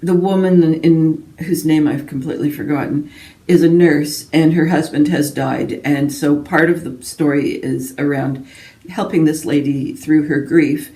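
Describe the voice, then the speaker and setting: A woman's voice: low voice, fairly even intonation, measured pace, mellow. A woman from Canada chatting casually and ramblingly to her viewers as a book vlogger, in a self-deprecating way. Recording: roomy echo, distant microphone.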